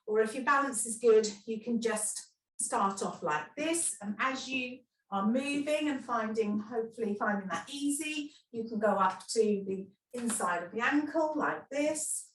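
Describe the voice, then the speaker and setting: Lady, bit high voice, friendly chatty how-to talk with lots of "you know"s. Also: a little slow, low-quality recording. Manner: chatty, encouraging, many fillers like "you know". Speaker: kinesiology teacher. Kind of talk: instructional talk with demonstrations.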